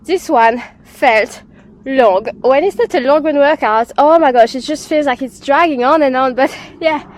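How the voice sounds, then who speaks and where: A woman's voice: high voice, normal speed, slight background noise. A woman with a blocked nose from a head cold, talking plainly and wearily while out on a run. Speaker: running vlogger. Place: outdoors on a run.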